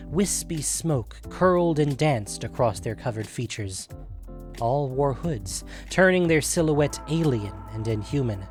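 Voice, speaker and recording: Man narrating fantasy fiction over soft music. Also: mid-range voice. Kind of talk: dramatic scripted fantasy story narration.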